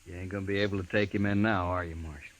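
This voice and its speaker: An actor as a low-voiced rustic frontier man. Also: steady tone, rapid-fire, lightly acted. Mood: puzzled, earnest. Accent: rural American. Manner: folksy, blunt. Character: bitter, plain-spoken rustic frontier man, grieving friend. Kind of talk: old-time radio western acting. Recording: faintly noisy old radio recording.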